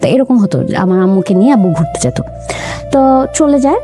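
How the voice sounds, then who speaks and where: A woman's voice: medium pitch, very animated, brisk. A young woman in an interview, earnestly recounting her family's story and quoting her parents' words as she goes. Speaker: interview guest. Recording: music bed under the voice.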